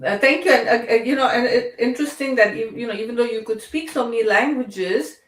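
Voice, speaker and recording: A woman hosting a casual live online group discussion, speaking in a halting, conversational way and often restarting her phrases. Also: fairly high pitch. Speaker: host. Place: indoor room on live online video call. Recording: echoey room, distant microphone.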